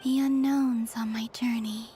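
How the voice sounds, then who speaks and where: An actress playing a gentle, reserved young woman in a video game, soft-spoken, curious and sparing with words. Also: on the high side, fairly even intonation, measured pace, slight background noise, close to an everyday voice. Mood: quietly curious. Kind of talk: video game character acting. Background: music.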